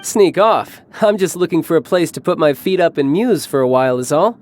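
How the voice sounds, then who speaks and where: A cocky young warrior agent played by a male actor in a game. His voice sits a little high, smooth-talking and casual, teasing with menace underneath. Faint noise sits behind it. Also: animated, brisk, darkish tone, lightly acted. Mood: breezy, teasing.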